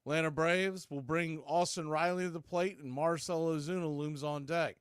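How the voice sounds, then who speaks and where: A man's voice: on the high side, steady tone, normal speed, smooth, no echo, studio quality. A man doing live radio baseball commentary in clipped, conversational phrases, hosting the play-by-play.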